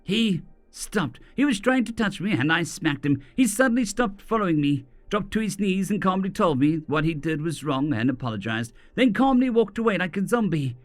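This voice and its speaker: A man voicing an angry young female mage who yells and accuses. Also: expressive, normal speed, vocal fry, hardly any pauses, faint music behind, full character voice. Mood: furious. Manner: shrill, yelling, accusing.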